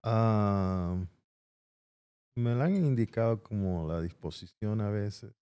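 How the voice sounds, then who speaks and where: Low-voiced Peruvian-born man, mellow and thoughtful. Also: expressive, slow pace, clean tone. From Peru. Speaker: guest, construction entrepreneur. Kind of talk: casual interview conversation.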